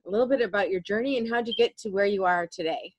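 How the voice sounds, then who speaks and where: A woman's voice: medium pitch, fast, dry recording, muffled recording. A woman hosting a podcast interview, introducing her guest and asking questions in a friendly, eager way. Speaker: podcast host. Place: indoors, home room.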